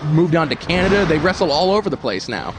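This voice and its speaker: A man's voice: fairly high pitch, big pitch swings, quick pace. A man doing play-by-play commentary at a wrestling show, wordy with a dry wit. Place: wrestling arena commentary desk. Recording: loud arena crowd and noise.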